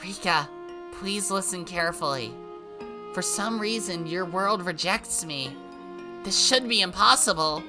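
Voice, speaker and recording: A woman voicing a gentle, anxious girlish deity who calls out softly and pleadingly. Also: fairly low pitch, soft background music, pitched above the performer's own voice. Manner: soft, pleading, drawn-out calls.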